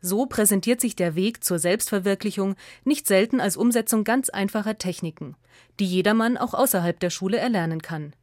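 A woman's voice: mid-range voice, fairly quick, a little mellow, dry recording. Woman reading a radio essay, dry studio sound. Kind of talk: scripted radio essay narration.

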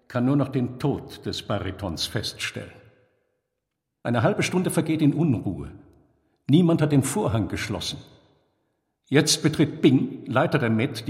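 A man reading a scripted literary story with deliberate, dramatic phrasing and pauses. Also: medium pitch. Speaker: narrator. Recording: long hall reverb.

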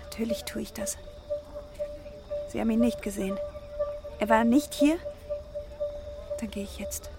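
A woman's voice: on the high side, measured pace, pauses often, music in the background. An actress in a radio drama playing a distraught, fragile woman searching for her missing husband. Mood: urgent. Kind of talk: dramatic German radio play acting.

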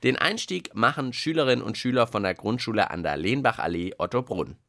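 A man's voice: medium pitch, animated, brisk, vocal fry, bright, forceful tone, no echo. A man co-hosting a children's radio show in a casual, playful, explaining way, asking questions into a close studio microphone.

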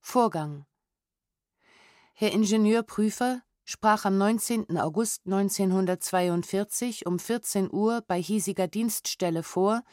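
A woman's voice: medium pitch, measured pace, darkish tone, no room sound. A woman narrates formal historical material in a mid-range voice. Her delivery is sober, with precise enunciation. The sound is very dry, with no room around it. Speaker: narrator reading historical documents. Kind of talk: formal scripted documentary narration.

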